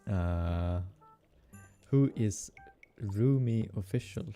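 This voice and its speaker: A young man with a Swedish accent, streaming casual game development and musing aloud with fillers and soft laughs. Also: on the low side, drawn-out words, faint music behind. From Sweden. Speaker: game developer streaming his hobby project. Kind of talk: casual live-coding stream chatter.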